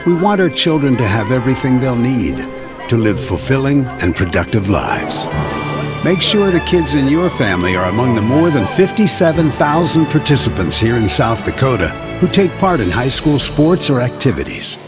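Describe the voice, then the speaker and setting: A male announcer reads a public service message at a medium pitch. His delivery rises and falls a lot over clear background music. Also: big pitch swings, normal speed.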